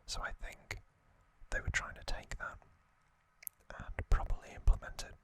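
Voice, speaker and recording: A man whispering as an ASMR host, breathy and unvoiced, talking off the cuff in a halting, reflective way. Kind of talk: ASMR, unscripted whispered commentary.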